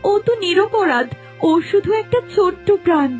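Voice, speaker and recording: Woman acting young mom in a cartoon story, really high voice, earnest n pleading. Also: expressive, normal speed, soft background music. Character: pleading young wife and new mother. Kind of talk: animated folk story dialogue.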